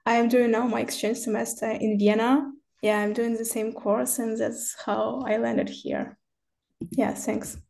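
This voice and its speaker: A woman's voice: fairly high pitch, flat delivery, a little slow, creaky, a little mellow. A woman in her twenties from Ukraine, an exchange student introducing herself briefly on a video call.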